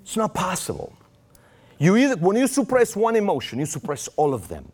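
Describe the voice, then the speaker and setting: A man's voice: sits high, huge pitch swings, fairly quick, darkish tone, slightly rough. A man preaching a sermon in English with a Romanian accent, his first language Romanian, acting out quoted prayers with raised voice.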